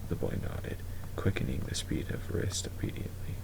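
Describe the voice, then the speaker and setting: A man giving an amateur dramatic reading of written fiction in a hushed, halting, breathy and gravelly voice. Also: in a whisper. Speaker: amateur story reader.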